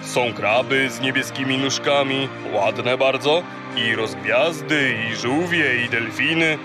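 An actor playing a gruff, boastful sea god who guides and teases like a showman in a children's audio drama. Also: mid-range voice, takes it slowly, music in the background, lightly acted. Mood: bossy, teasing.

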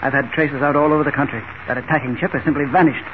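An actor playing an incredulous airfield boss in theatrical, exclamatory 1940s radio drama style, on an old radio recording. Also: male voice, medium pitch, fairly even intonation, fast talker, natural voice. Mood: incredulous, alarmed. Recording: tinny, noisy 1940 radio recording.

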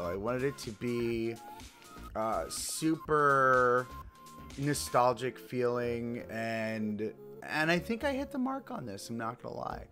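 Slightly raspy, chatty man. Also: mid-range voice, fairly bright tone. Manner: chatty, upbeat, many fillers and restarts. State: hoarse from screaming. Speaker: let's play host. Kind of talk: casual game commentary.

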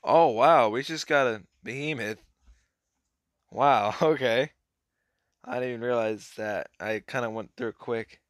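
A young man casually commenting on a mobile card game as he plays, hoarse and clearing his throat, his voice trailing off in a laid-back mumble. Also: medium pitch, a little slow, long pauses, dry recording, clean studio sound.

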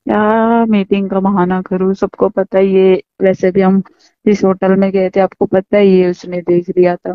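A woman's voice: medium pitch, flat delivery, moderate pace, clean tone, dry recording. A woman talking casually in a private phone conversation, heard on a dull lo-fi call recording.

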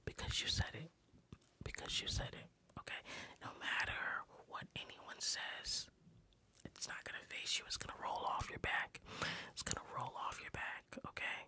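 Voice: normal speed, bright, forceful tone. An ASMR creator whispering guided breathing and affirmations, repeating each phrase in a reassuring way.

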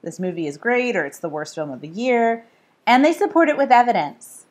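A woman author reading aloud from her nonfiction book, explaining in measured phrases. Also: on the high side, sing-song. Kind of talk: scripted read-aloud of nonfiction.

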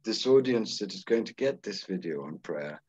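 A man's voice: fairly low pitch, expressive, normal speed, darkish tone, husky, dull audio. A man hosting a conversation, introducing his guest in an effusive, emphatic way. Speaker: host. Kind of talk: interview introduction.

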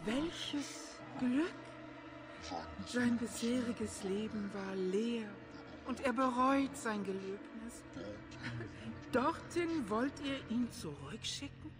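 Female desire demon, seductive, possessive, very unhurried, deliberate, fantasy game. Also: a little high, lively intonation, over music. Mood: persuasive, proud. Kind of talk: dubbed fantasy game acting.

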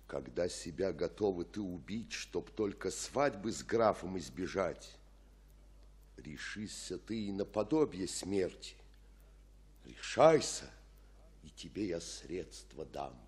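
An actor playing an older, fatherly friar in a radio tragedy, speaking in a husky, measured, solemn declamation. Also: low-pitched, a little mellow, full character voice. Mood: grave, secretive. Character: grave fatherly friar counselling a desperate girl. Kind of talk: Russian radio play acting of classical tragedy.

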